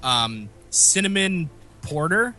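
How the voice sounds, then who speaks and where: Guy on a podcast over a call, kinda phlegmy and raspy, bright voice, rambles and restarts a lot. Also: a little high, big pitch swings, takes it slowly, faint music behind. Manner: rambling, self-interrupting storyteller. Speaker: podcast co-host. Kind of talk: casual podcast chat. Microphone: remote call mic.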